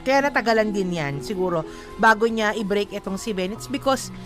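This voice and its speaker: A woman's voice: mid-range voice, moderate pace. A woman with a Filipino accent co-hosting a casual radio advice chat, speaking emphatically and switching between Tagalog and English. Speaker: radio co-host. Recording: music playing behind the voice. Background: background music.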